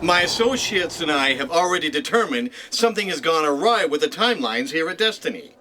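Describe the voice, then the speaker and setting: An earnest male investigator, played by an actor in a comic radio play, with a fairly high, lively voice. He lays out his reasoning formally, over faint clicks and noise. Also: fairly quick. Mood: earnest, probing. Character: earnest, fast-reasoning detective investigating a cosmic bureaucracy. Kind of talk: comic audio drama acting.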